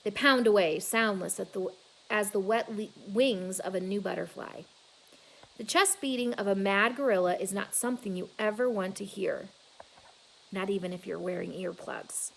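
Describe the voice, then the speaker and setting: A woman reading a children's novel aloud in an expressive, dramatic storytelling manner. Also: mid-range voice, moderate pace, creaky, slight background noise, poor audio. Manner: dramatic, with emphatic exclamations and hushed asides.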